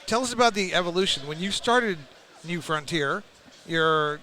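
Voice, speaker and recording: Male podcast host, bright and higher-pitched, effusive and rambling. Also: expressive, moderate pace, a little hiss or hum. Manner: effusive and complimentary, loose and rambling. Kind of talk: casual interview.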